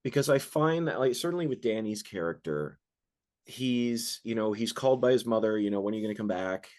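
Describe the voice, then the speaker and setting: Male interviewer, lowish voice, loose rambling question style. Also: animated, fairly quick, darkish tone. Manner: rambling, hesitant questions with fillers.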